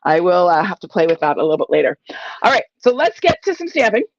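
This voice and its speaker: A middle-aged woman from Ontario, giving a chatty, enthusiastic live crafting tutorial while croaky from a cold. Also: fairly low pitch, big pitch swings, fast talker, highs cut off. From Ontario, Canada. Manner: chatty and enthusiastic, many fillers. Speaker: papercraft demonstrator.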